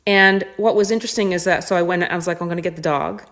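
A woman with a low voice speaks in a casual, reflective podcast style. She thinks aloud as she goes, often stopping to rephrase and putting questions to herself. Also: fairly even intonation, fast, fairly bright tone. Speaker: guest, touring musician.

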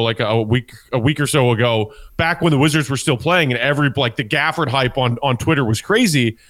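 A man's voice: fairly high pitch, fairly bright tone. A man co-hosting a sports podcast, casually explaining his analysis as he thinks aloud.

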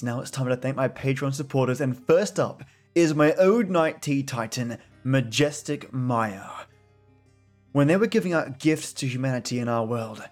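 A man's voice: medium pitch, darkish tone. A man hosting a story podcast, signing off in a chatty, grateful manner as he thanks his supporters. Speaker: podcast host. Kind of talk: casual podcast sign-off.

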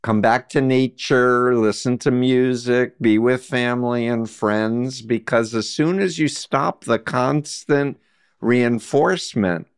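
Smooth-voiced man hosting an interview. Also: medium pitch, steady tone, slow pace. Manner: attentive, explanatory, drifts to advice. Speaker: podcast host. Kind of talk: interview conversation.

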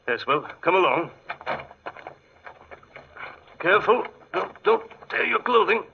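An actor playing an excitable, fussy English gentleman archaeologist in 1940s radio style, often hesitant, with an English accent. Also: high voice, slow, vocal fry, slight background noise, exaggerated voice. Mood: excited. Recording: muffled 1940s radio broadcast.

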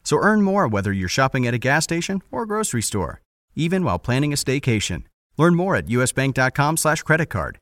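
A man reads a scripted commercial in a bright, upbeat way. His pitch moves around a lot. The recording is dry and close. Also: very animated, dry recording. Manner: upbeat, with a quick low disclaimer. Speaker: ad announcer. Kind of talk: scripted radio-style ad read.

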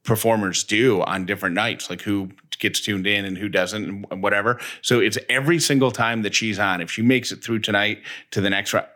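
A man's voice: lowish voice, fairly even intonation, a little fast, few pauses, sharp tone. A man co-hosting a podcast in casual, conversational banter. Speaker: podcast co-host.

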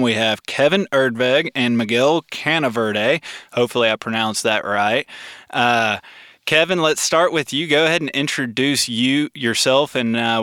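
A man's voice: medium pitch, sharp tone, smooth, no echo, clean studio sound. A man hosting a podcast who opens the episode and asks his guests questions in an upbeat, conversational way. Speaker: podcast host.

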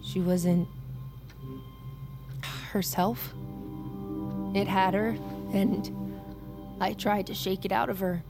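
A woman's voice: mid-range voice, steady tone, takes it slowly, long pauses, over music. A woman playing a defiant, traumatized young soldier in a naturalistic audio drama. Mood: anguished confession.

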